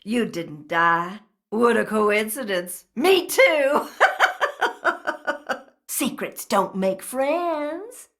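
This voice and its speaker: An unhinged, bitter woman hiding in a crypt for a video game. Her high voice swings widely as she moves between giggles and menace, drawing words out slowly and theatrically. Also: expressive, fairly bright tone, no echo, clean studio sound, heavily acted. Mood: teasing, evasive. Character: eccentric, bitter, unhinged younger sister living hidden in a crypt. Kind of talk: video game character acting.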